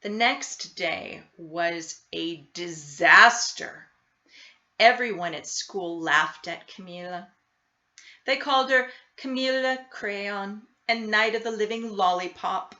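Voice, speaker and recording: A woman reading a children's picture book aloud in an expressive, deliberate storytelling style. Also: medium pitch, highs cut off. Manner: animated, deliberate storytelling delivery. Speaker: storybook reader.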